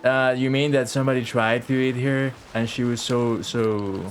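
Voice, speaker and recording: A man giving casual, halting, reflective livestream commentary on a video game in an informal way. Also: medium pitch, fairly even intonation. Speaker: game streamer. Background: faint game music.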